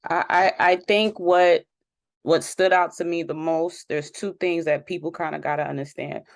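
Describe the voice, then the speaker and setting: A woman hosting an interview in an upbeat way, repeating words and filling pauses with "you know". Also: low voice, fairly even intonation, gravelly, no echo, studio quality. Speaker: interview host.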